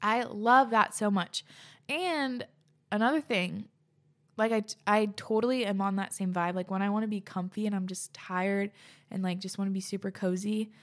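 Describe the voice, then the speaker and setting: A bubbly young woman hosts a casual podcast and gushes over what listeners send in. She fills her talk with "like" and sounds slightly out of breath. Her pitch sits in a middle range. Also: normal speed. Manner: bubbly, gushing, says "like" a lot. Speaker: podcast host. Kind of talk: casual podcast chat, reading listener submissions.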